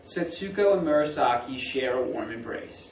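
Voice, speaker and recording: A man's voice: medium pitch, lively intonation, normal speed. A man reading stage directions as scripted narration at a staged play reading. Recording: echoey, distant mic, faint noise.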